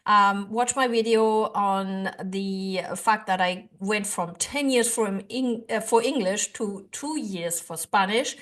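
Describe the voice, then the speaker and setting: A woman whose first language is German gives an earnest, rambling English lesson to camera, with many fillers and restarts. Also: mid-range voice. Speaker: language-learning YouTuber. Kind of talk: casual teaching to camera.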